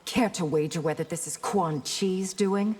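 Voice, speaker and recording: An actress playing a poised, wary, protective warrior princess who leads an army in a fantasy fighting game, formal and composed. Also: female voice, on the low side, a little slow, a little mellow, faint music behind, close to an everyday voice. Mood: poised, guarded. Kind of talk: video game fighter intro dialogue. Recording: echoing hall, clear background noise.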